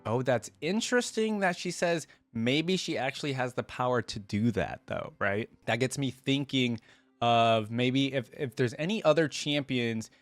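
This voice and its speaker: A man's voice: mid-range voice, moderate pace, no echo, faint music behind. Casual man, dry close sound. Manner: chatty, musing. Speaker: reaction video host. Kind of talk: casual off-the-cuff commentary.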